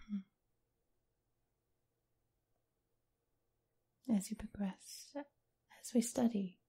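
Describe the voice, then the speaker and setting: A woman playing a teasing, doting, seductive vampire mistress in a whispered, breathy ASMR roleplay. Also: medium pitch, dark tone, full character voice, poor audio. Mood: coaxing, teasing. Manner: slow, teasing, affectionate purr.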